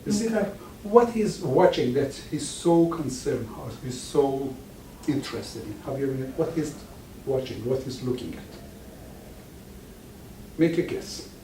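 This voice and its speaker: A man whose first language is Farsi gives an informal lecture to an audience, speaking haltingly and often restarting words. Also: medium pitch, expressive, mellow, slight background noise. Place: lecture room with live audience. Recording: echoey room, mic far off.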